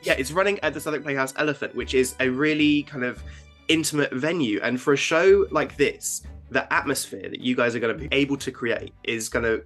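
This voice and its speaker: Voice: medium pitch, fairly quick, fairly bright tone, soft background music. A podcast host interviewing a theatre guest in a friendly, upbeat, casual way.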